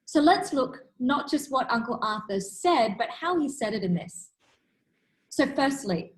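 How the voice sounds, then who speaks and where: A woman's voice: fairly high pitch, low-quality recording. A woman giving a keynote talk, telling stories in an earnest, emphatic way. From Australia. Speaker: keynote speaker.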